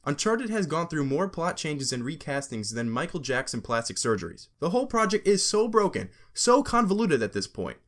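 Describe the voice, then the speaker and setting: A man's voice: on the high side, big pitch swings, normal speed, few pauses, fairly bright tone. A young man hosting a casual scripted gaming commentary, sarcastic and joking, with profane asides. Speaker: video essay host.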